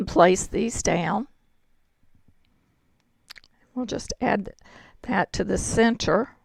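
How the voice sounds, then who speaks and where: Hoarse woman with a gravelly voice teaching crafts. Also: medium pitch, no echo. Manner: chatty, step-by-step instruction. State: hoarse, throat-clearing. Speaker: card-making instructor. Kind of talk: crafting tutorial.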